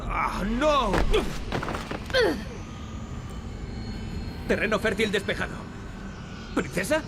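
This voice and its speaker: Cocky, animated young adventurer, Castilian Spanish. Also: male voice, high voice, measured pace, very noisy. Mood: urgent. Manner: wry, teasing. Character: cocky sarcastic young male adventurer hero. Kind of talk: video game acting, European Spanish dub. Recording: loud music behind the dialogue. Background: loud game music.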